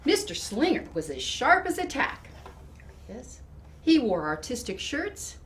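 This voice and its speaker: A woman reading a storybook to children in expressive narration, with deliberate, emphatic phrasing. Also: high-pitched. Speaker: storybook reader. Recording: distant mic with clear background noise.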